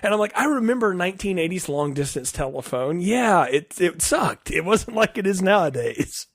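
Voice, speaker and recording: A man's voice: high-pitched, sing-song, normal speed, no echo, clean studio sound. A middle-aged American man co-hosting a casual film review podcast in a conversational way. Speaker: podcast co-host.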